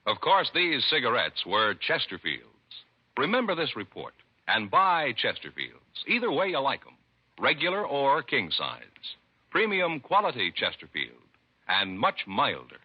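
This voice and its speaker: Animated, husky radio pitchman. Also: measured pace. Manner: emphatic, formal salesmanship. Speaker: commercial announcer. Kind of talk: 1950s radio advertising announcement. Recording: dull vintage radio recording.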